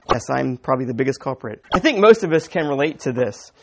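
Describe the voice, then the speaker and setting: A man preaching a sermon as pastor, with a sniffly, slightly raspy voice, talking conversationally, chuckling and often asking the congregation "right?". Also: medium pitch, animated, brisk.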